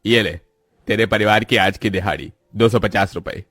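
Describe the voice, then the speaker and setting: A man's voice: lowish voice, normal speed, a bit bright, no room sound, studio quality, soft background music. A man playing a stingy farm landowner in a cartoon moral story, curt, scornful and taunting toward his laborer. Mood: dismissive.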